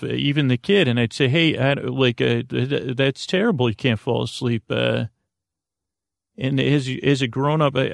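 Creaky-voiced man, rambling sleep podcast host. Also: medium pitch, no echo, studio quality. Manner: meandering, halting, stammering, soothing. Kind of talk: rambling bedtime monologue meant to lull listeners to sleep.

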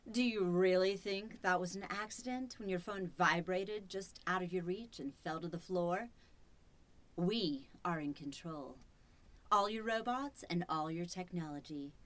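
Woman playing a slow, sinister household robot. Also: medium pitch, fairly bright tone, lightly acted. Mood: menacing, gloating. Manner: slow, deliberate, condescending. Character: sinister female household robot, coolly menacing and controlling. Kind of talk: old-time radio horror acting.

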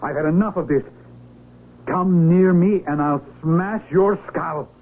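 A young mountain man with a fairly high voice, agitated and defiant in a theatrical radio-play way. The sound is narrow and tinny, like a seventies broadcast with some hiss. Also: a little slow. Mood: angry, threatening. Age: young adult. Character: desperate young mountaineer, sighted outsider among the blind. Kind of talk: radio drama acting.

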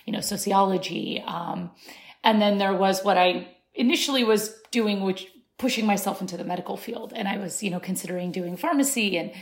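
A woman in her thirties, originally from Syria, tells her story as an interview guest. She speaks thoughtfully, pausing on fillers and restarting her sentences, at a middling pitch. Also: creaky. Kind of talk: conversational interview.

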